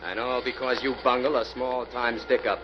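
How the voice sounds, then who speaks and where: A man playing a tough American bank robber in an old radio crime drama, gruff, impatient and slangy. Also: mid-range voice, steady tone, moderate pace, music in the background, lightly acted. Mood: wary, scolding. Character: tough, bossy, hard-boiled American bank robber, ex-convict. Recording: muffled, hissy old radio recording.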